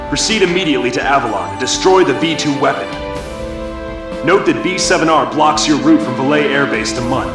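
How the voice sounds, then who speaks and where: A man's voice: medium pitch, close to an everyday voice. A man playing a stern military officer who delivers a formal, authoritative mission briefing. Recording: roomy, distant, under loud music.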